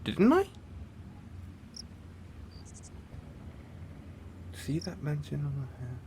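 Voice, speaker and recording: A man's voice: on the high side, huge pitch swings, normal speed, noisy background. A man playing a theatrical, boastful supervillain who gets flustered and stammers, in an audio roleplay monologue. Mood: awkward, sheepish. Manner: pompous, stammering, dramatic swoops and villainous chuckles.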